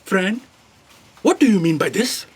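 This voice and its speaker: A woman's voice: mid-range voice, sing-song, unhurried, slightly rough. A woman playing a dutiful office aide in a comic audio drama, dry and snide in manner.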